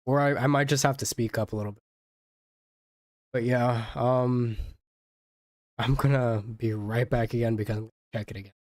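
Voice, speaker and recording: Man, livestreamer, casual chat, halting muttered delivery, mid pitch, dry close recording. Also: fairly even intonation, no echo. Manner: halting, muttering to himself while troubleshooting. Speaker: live streamer.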